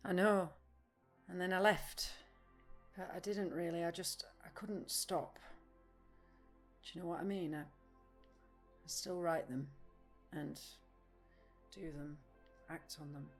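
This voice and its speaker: Blunt restless woman in an audio play, low and husky, takes everything literally. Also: fairly even intonation, takes it slowly, long pauses, soft background music. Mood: agitated. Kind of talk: naturalistic audio drama dialogue.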